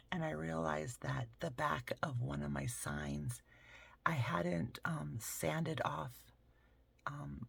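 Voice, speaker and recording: A hoarse woman hosting a casual craft vlog, chatting in a rambling way and often dropping into a whisper. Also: deep voice, a bit bright, dry recording. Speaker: craft channel host.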